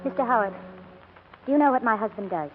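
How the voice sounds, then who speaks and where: A woman's voice: fairly high pitch, expressive, quick pace, close to an everyday voice. A woman acting a strained, earnest, anxious mother in a 1940s radio drama. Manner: earnest, urgent. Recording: tinny old radio recording, faint noise.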